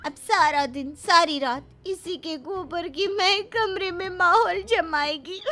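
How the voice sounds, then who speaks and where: A woman's voice: very high-pitched, pushed and bright, voice pushed up, full character voice. A woman voicing a young newlywed daughter-in-law in a cartoon story, boastful, chatty and theatrical. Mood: wailing complaint. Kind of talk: cartoon moral-story acting.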